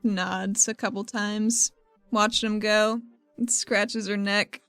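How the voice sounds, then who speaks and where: A woman's voice: mid-range voice, level tone, takes it slowly, a bit bright, smooth, no echo, soft background music. A woman playing a tabletop roleplaying game, casually describing her character's actions in the third person.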